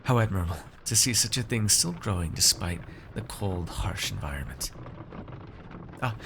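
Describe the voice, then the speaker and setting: A man playing a haughty, shrewd noble master in a fantasy ASMR roleplay, speaking in measured, formal, condescending phrases to a servant. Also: deep voice, animated, background noise. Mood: musing, calm.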